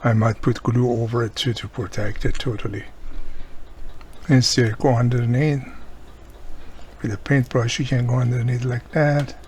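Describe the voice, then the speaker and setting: A man's voice: medium pitch, little pitch movement, normal speed, pauses often, darkish tone, a little hiss or hum. A man who is not a native English speaker explains a hands-on repair step by step, unscripted, often checking in with the viewer. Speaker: radio repair hobbyist presenting a tutorial.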